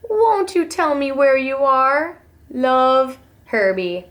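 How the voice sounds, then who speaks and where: Woman voicing a lovesick slug, very expressive. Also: high voice, slow pace, exaggerated voice. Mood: excited, yearning. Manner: earnest, singsong rhyming verse. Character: lovesick male garden slug, shy and earnest.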